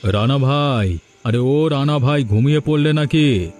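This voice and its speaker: A man plays a grown village man in a cartoon. His voice is mellow and dark, medium in pitch. Faint music runs behind the dialogue. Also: measured pace, close to an everyday voice. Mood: suspicious.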